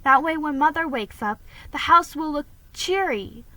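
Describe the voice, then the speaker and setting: Woman voicing an earnest, bright-voiced protective big sister. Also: high voice, animated, normal speed, no echo, voice pushed up. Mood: hopeful, resolute.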